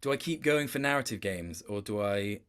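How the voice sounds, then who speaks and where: A man's voice: fairly low pitch, animated, moderate pace, a bit bright, clean tone. A man in his late twenties talking casually as an interview guest, rambling and correcting himself as he tells stories. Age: late 20s. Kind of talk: casual gaming interview chat.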